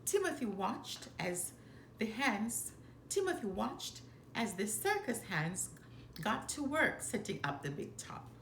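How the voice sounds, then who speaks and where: A woman reading a children's story aloud in a deliberate, expressive storytelling manner. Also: fairly high pitch. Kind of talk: expressive scripted read-aloud narration for children. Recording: roomy echo, faint background noise.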